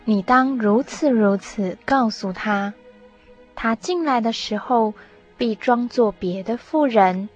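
A woman narrating a radio drama in a measured, scripted storytelling style. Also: on the high side, highs cut off. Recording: music behind the voice.

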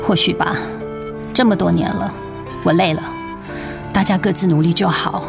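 A woman's voice: on the low side, fairly even intonation, moderate pace, gravelly. An actress with a Taiwanese accent playing a weary, guarded wife in her forties in a radio drama, speaking with restraint. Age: 40s. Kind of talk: Mandarin radio drama acting. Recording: music bed under the voice.

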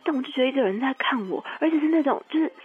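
Muffled phone voice, woman acting as nervous young woman, quick and fairly high, Taiwanese Mandarin accent, audio drama. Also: lively intonation. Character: nervous young woman phoning her housemates. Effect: phone call filter. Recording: muffled phone-like sound, faint music.

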